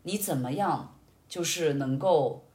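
A woman's voice: low voice, slow pace, mellow. A woman talking expansively and reflectively as an interview guest, illustrating her points with anecdotes. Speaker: podcast guest. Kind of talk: reflective conversational interview talk. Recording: roomy, echoey sound.

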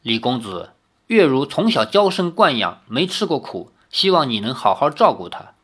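A man's voice: mid-range voice, very animated, moderate pace, fairly bright tone, husky. A man voicing a stern, proud older martial master and father who speaks weightily. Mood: resigned.

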